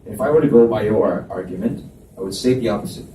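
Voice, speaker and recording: A man reading the part of a reserved, analytical young college student with a measured, hesitant way of talking, in live romance fiction dialogue. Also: on the low side, dark tone, close to an everyday voice. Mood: cool, argumentative. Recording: echoey room, distant mic, background noise.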